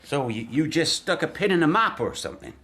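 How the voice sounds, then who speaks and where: A man's voice: a little high, big pitch swings, brisk, pushed and bright, exaggerated voice. A man playing a nosy, teasing small-town local in a radio drama. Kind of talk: naturalistic radio drama acting.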